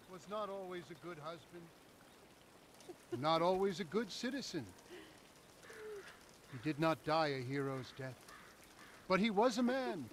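An actor voicing a solemn male priest giving a measured eulogy at a graveside in a video game cutscene. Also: on the high side, fairly bright tone, a little hiss or hum.

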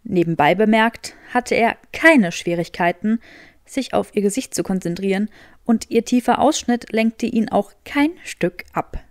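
A woman's voice: medium pitch, unhurried. A woman reading scripted fiction as an audiobook narrator.

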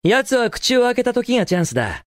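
A man's voice: very high voice, very animated, fairly quick, a bit bright, no room sound, studio quality, exaggerated voice. An actor playing a cocky, confident young male hedgehog hero in a Japanese video game, with a cool, casual delivery. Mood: confident, alert.

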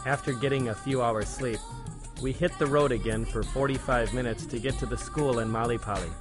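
A man, a church volunteer, reading a plain, measured documentary voice-over about a mission trip. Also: mid-range voice, fairly even intonation. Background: background music.

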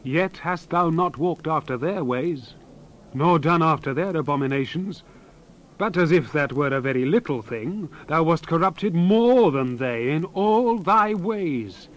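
A man voicing a wrathful God in a dramatized scripture reading, declaiming solemnly and swinging between forceful cries and hushed near-whispers. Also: fairly high pitch, expressive, unhurried, low-quality recording. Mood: stern, accusing. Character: wrathful male God pronouncing solemn judgment.

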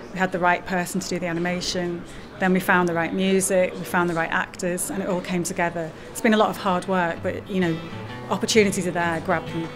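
A woman in her forties speaking in an interview as a lecturer and writer, recorded in a large indoor hall. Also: on the low side. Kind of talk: interview about own film. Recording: noisy room with background ambience.

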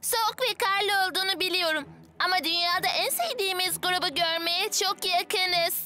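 A woman's voice: very high-pitched, moderate pace, music in the background. A woman voicing a bold, determined young girl in a Turkish cartoon dub, spirited and insistent. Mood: pleading, determined.

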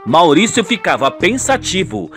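High-voiced Brazilian man narrating a soap. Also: very animated, measured pace, a bit bright, faint music behind. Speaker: narrator and performer of a radio soap. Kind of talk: dramatic scripted narration.

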